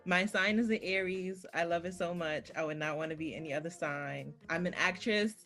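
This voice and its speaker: A woman's voice: lowish voice, moderate pace, a bit bright, faint music behind. A woman in her twenties introducing herself on a video call, upbeat and emphatic. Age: 20s. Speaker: guest. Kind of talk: casual self-introduction.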